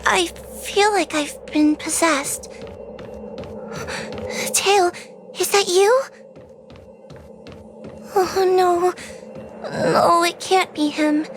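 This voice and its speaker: A woman's voice: extremely high pitch, very slow, long pauses, over music, heavily acted. A woman voicing an anxious young girl in a video game, hesitant and fretful. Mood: frightened, confused.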